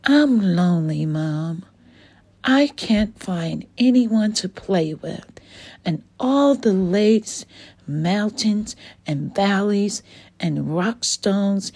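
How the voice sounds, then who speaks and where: A lonely young boy dragon mopes and grumbles in a bedtime storybook. The voice is husky, dark and gravelly, drawn out slowly, with a woman doing him. It sounds close and dry. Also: mid-range voice, expressive, heavily acted. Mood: sad. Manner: sad, grumbling.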